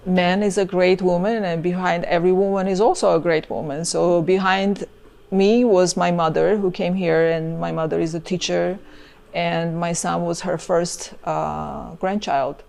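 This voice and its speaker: Woman, Armenian accent, middle-aged, gravelly, low-ish voice, casual filler-heavy talk. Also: steady tone, unhurried. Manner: many fillers, chatty and warm about family. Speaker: immigration lawyer, guest. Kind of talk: casual interview chat.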